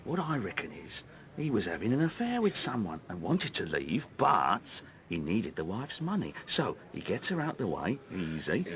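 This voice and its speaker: A cocksure café waiter in a radio drama. His lowish voice swoops about dramatically as he gossips knowingly and offers opinions. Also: wildly swooping pitch, normal speed, fairly bright tone. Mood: knowing, gossipy. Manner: chatty, knowing.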